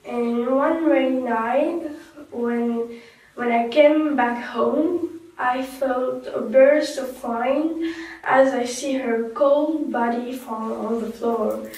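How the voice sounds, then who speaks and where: A remorseful young woman, played by a woman tells her story slowly, stumbling and restarting. Her voice sits high. It sounds distant and echoey. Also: darkish tone. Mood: earnest. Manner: slow, halting, with restarts. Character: young woman survivor, remorseful and soft-spoken. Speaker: guest in a staged talk show. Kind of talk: scripted role-play interview. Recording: echoey room, far from mic.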